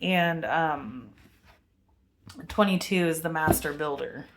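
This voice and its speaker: A woman vlogging a rambling spiritual monologue to her camera, meandering with many fillers and pauses, with a commanding voice. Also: low-pitched, animated, noisy background. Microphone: webcam.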